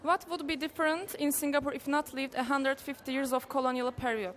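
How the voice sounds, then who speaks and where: A young woman works through her list of interview questions, polite and formal, with slightly non-native phrasing. Her voice is fairly high. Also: fairly even intonation, a little fast, fairly bright tone. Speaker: student interviewer.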